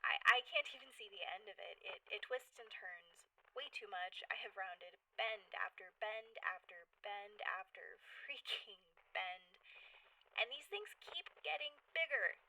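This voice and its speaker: A woman playing an anxious, rambling geologist stranded in alien caves, recording a halting audio log in a found-footage sci-fi drama. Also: mid-range voice, lively intonation, pushed and bright, distant mic, full character voice, poor audio. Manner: rambling, halting, nervously self-distracting.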